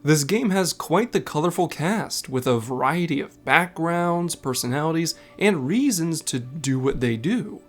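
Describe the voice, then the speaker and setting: A man's voice: on the high side, expressive, a little slow, hardly any pauses, soft background music. A man delivering scripted narration as the host of a video essay. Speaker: video essay narrator and game content creator.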